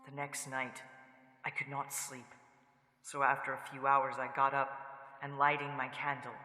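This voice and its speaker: A man playing an uneasy traveller who recounts his ordeal in grave, deliberate, suspenseful radio horror narration. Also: medium pitch, monotone, faint music behind. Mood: fearful, trapped. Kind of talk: dramatic first-person radio horror narration. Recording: echoey reverb, loud background ambience.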